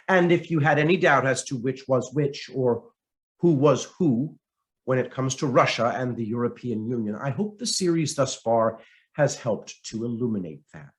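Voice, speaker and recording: Male narrator, scripted essay reading, swooping expressive pitch, theatrical emphasis, deliberate pauses. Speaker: reader of a political essay.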